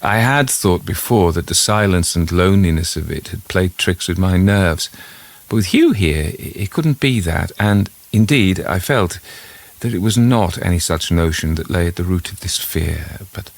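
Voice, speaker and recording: A man narrates a classic ghost story in a low register, with pitch that moves widely across each phrase. His delivery is measured and literary, shaped as dramatised first-person storytelling. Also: expressive, gravelly.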